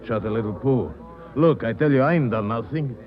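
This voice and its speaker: An actor playing a surly lumberyard worker being questioned, answering curtly and defensively in 1940s radio acting. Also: male voice, mid-range voice, a little fast, natural voice. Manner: curt, defensive, rough grammar. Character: surly young male suspect, lumberyard worker. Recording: muffled 1940s radio broadcast.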